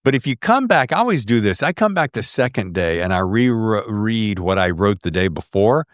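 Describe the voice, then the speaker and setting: A man's voice: fairly low pitch, moderate pace, dry recording, studio quality. A man hosting a casual podcast interview, talking animatedly and slipping into acting out his own thoughts. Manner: animated, acts out inner monologue. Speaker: podcast host interviewing an author.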